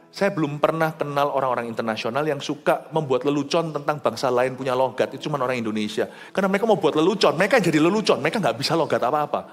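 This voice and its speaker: A male pastor preaching a sermon with fervour, swinging from loud exclamations to low quiet asides and mixing English words into his talk. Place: large rooftop church venue. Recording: live hall reverb with faint music.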